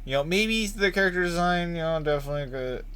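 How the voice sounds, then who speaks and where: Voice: medium pitch, animated, fast talker, a bit bright, smooth. A streamer giving casual live gameplay commentary in rambling, reactive asides. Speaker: game streamer. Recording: noisy livestream with game sound behind. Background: game ambience.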